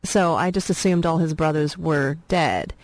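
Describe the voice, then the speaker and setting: A woman's voice: low voice, moderate pace, low-quality recording. A woman in her thirties, originally from Vietnam, a film director speaking as a radio guest in a reflective, rambling way with many fillers. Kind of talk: radio interview, personal storytelling.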